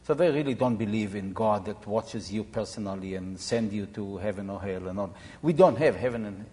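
A man in his seventies from Israel, explaining informally to an audience in a halting, reflective way. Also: lowish voice, dark tone. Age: 70s. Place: large echoey room or hall. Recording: reverberant hall sound.

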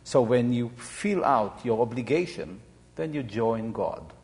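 A man in his seventies from Israel, explaining informally to an audience in a halting, reflective way. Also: mid-range voice, expressive, a little mellow. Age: 70s. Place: large echoey room or hall. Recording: reverberant hall sound.

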